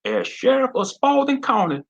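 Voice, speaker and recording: A man's voice: extremely high pitch, lively intonation, normal speed, creaky, lo-fi sound, voice pushed up, exaggerated voice. A man voicing a bullying small-town sheriff with a Southern drawl, sneering and menacing. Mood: taunting.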